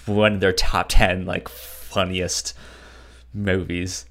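A podcast co-host chatting casually in a laid-back, low-key manner. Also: little pitch movement, slow, a bit bright. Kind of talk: casual chat, brief reactions.

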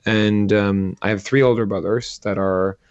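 A man's voice: on the low side, steady tone, measured pace, clear, resonant tone, no room sound, highs cut off. A man, an entrepreneur answering interview questions thoughtfully, hesitating with many fillers. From Sweden. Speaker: entrepreneur and angel investor. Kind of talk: interview guest.

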